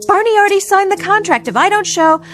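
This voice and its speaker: An actress playing an exhausted, anxious club dancer in a radio drama, fretful and protesting. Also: female voice, very high-pitched, expressive, fast, a bit bright, soft background music.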